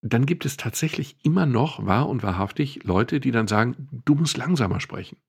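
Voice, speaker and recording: A man's voice: medium pitch, expressive, moderate pace, vocal fry, husky. A man co-hosting a podcast, explaining things thoughtfully at length with some dry humor. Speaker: podcast co-host. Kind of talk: casual explanatory chat.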